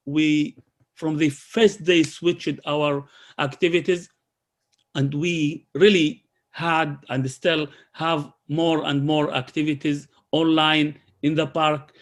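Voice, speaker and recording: Man, slightly high voice, halting delivery full of restarts; presents community programs over a call. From Israel. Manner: halting, many restarts and fillers.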